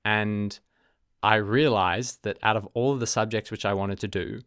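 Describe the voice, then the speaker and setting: A young man with an Australian accent talks through a solo podcast in a relaxed, reflective way, stopping to rephrase and filling gaps with ums. His voice sits fairly low and comes across smooth and resonant. The sound is close and dry, with no room echo. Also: moderate pace. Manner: thoughtful, self-correcting, frequent ums. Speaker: podcast host. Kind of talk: casual solo podcast talk.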